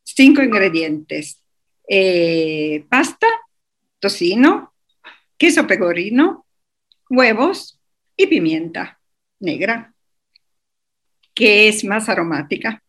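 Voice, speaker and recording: A woman, a guest in a radio interview, talking with many pauses, fillers and restarts. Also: mid-range voice, sing-song, fairly bright tone, breathy edge.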